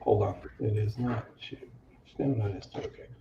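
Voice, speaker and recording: A man's voice: fairly low pitch, fairly even intonation, a little fast, crowd noise. A man chatting casually as he hosts and plays a tabletop game. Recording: roomy, dull audio with clear noise.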